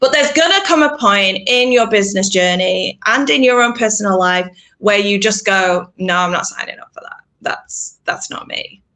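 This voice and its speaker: Middle-aged woman, PR consultant guest. Bright voice, emphatic, animated, casual delivery. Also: medium pitch, normal speed, hardly any pauses. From England. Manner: animated, emphatic, acts out quoted thoughts. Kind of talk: casual interview conversation.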